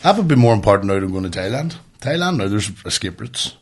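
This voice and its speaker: A man's voice: on the low side, big pitch swings, fast. A man in his thirties with a Northern Irish accent, chatting casually and swearing freely in a blunt, emphatic way as a podcast host. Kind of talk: casual banter, animated storytelling.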